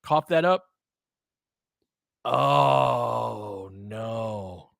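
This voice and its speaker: A man's voice: medium pitch, expressive, very slow, gravelly, a bit bright, no room sound, studio quality. A man giving live basketball play-by-play and casual analysis as host, conversational and informal, reacting to plays like a fan.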